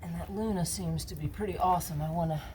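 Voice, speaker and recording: A woman's voice: fairly low pitch, fast talker, mellow, a little hiss or hum. A woman casually narrating her car detailing work outdoors, chatty and rambling with lots of fillers. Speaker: car DIY vlogger. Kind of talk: casual narration while working.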